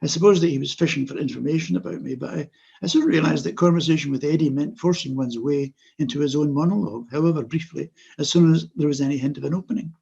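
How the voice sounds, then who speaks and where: An older man, reading his own memoir prose aloud in a measured, wry manner over a video call. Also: fairly high pitch, gravelly, mellow, husky, lo-fi sound. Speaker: author.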